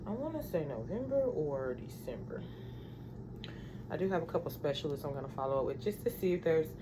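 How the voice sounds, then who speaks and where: A woman in her thirties talks to camera in a loose, rambling vlog chat, drifting from thought to thought and calling her viewers y'all. Her voice sits a little low for a woman, and a faint hiss of background noise runs under it. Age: 30s. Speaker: vlogger.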